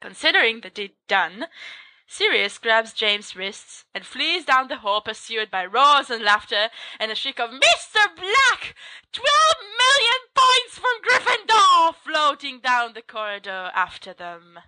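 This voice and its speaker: Bright woman reading fan fiction aloud. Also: fairly high pitch, expressive, unhurried, no echo, low-quality recording. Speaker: podfic reader.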